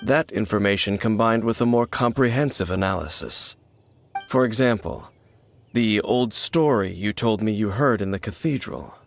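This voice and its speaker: An actor playing a stoic, guarded, world-weary warrior in a video game, speaking in a measured, formal way. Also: male voice, on the low side, vocal fry, soft background music. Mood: guarded, analytical. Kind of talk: video game character acting. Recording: faint noise and ambience behind.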